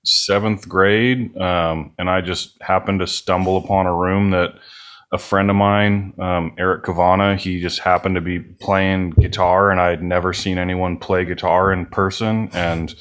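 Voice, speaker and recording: Man, deep pitch, American accent, from California, casual storytelling, podcast interview guest. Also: flat delivery, a little slow, fairly bright tone. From California, United States. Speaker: podcast guest, band musician. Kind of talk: casual anecdotal chat.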